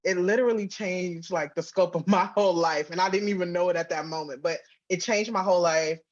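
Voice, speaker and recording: A woman chatting casually as an interview guest, speaking in an animated, informal way peppered with "like". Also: lowish voice, a little fast, dull audio. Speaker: guest, audio drama writer and producer.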